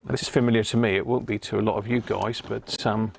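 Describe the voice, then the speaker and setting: A man's voice: on the low side, level tone, fast talker, creaky. A British man hosting a livestream, chatting to viewers in an informal, matey, casual way. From United Kingdom. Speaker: livestream host. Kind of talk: casual live chat to viewers.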